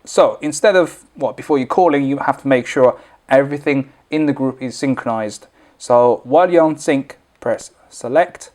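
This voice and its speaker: A man's voice: medium pitch, moderate pace, a little mellow. A man presenting an informal, unscripted product tutorial, explaining things step by step as he demonstrates.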